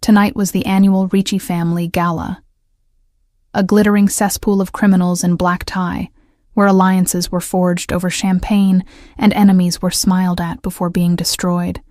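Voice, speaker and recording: A woman narrating a romance drama story in a measured, even manner. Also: on the low side, no room sound, clean studio sound.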